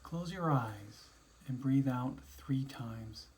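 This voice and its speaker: Male guided-imagery narrator. Dark, relaxed tone, soothing instructional read with spaced phrases. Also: mid-range voice. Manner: slow, soothing, instructional delivery with pauses between phrases. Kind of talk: guided meditation, scripted narration.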